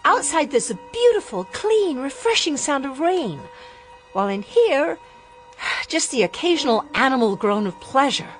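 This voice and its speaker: A young actress playing a rebellious, impassioned daughter in a radio drama. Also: female voice, high-pitched, sing-song, normal speed, faint music behind. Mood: defiant. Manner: emotional, defiant, impassioned.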